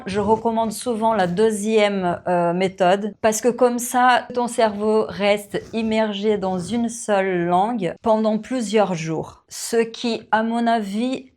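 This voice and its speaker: Woman, French teacher, vlog host, unhurried pace, casual chat, soft fillers. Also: mid-range voice. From Brazil. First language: Portuguese. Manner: slow, warm, relaxed, with frequent fillers and little laughs. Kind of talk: casual Q&A to camera.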